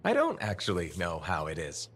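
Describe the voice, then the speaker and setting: An actor voicing a plain-spoken, curious freelancer hero with a dry, conversational manner in a video game. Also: male voice, deep voice, wildly swooping pitch, normal speed, slightly rough, faint music behind, exaggerated voice. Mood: curious, sympathetic. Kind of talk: video game dialogue acting.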